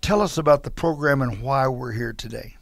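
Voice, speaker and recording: A man's voice: mid-range voice, normal speed, gravelly, dry recording, studio quality. A man hosting a radio morning show, joking and chatting with his guests. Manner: jokey, teasing, talkative. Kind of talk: casual radio chat. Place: radio studio.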